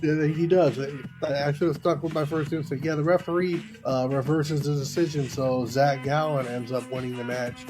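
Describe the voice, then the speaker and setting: A man's voice: on the high side, steady tone, moderate pace, hardly any pauses, over music, rough recording. A man giving casual, informal play-by-play wrestling commentary, correcting himself as he goes.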